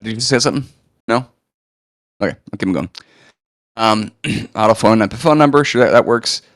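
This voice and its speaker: A man presenting a live coding demo, chatting casually with lots of fillers, his voice a bit hoarse as he recovers from illness. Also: on the low side, moderate pace, fairly bright tone, no echo, studio quality. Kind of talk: live screen-share walkthrough.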